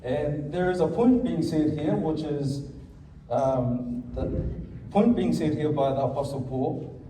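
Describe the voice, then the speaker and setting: A man's voice: on the high side, a little slow, background noise. A man with a Samoan accent preaching a sermon in church, switching between Samoan and English and calling the congregation to answer amen. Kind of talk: bilingual sermon. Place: church hall. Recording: echoey church from a distance.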